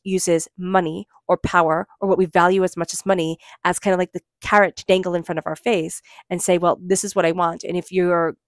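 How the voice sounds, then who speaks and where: Woman tarot reader, lowish voice, dry close sound, gentle and reflective. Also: no echo. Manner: gentle, reflective, with many fillers. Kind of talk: spoken tarot reading.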